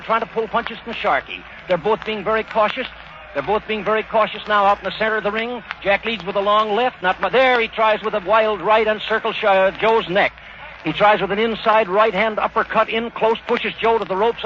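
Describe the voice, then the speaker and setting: Fast, high-pitched man calling vintage radio boxing. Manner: clipped, running present-tense description. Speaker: boxing commentator. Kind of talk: 1930s live radio blow-by-blow sports commentary. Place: outdoor stadium ringside. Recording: noisy, dull 1930s radio broadcast recording.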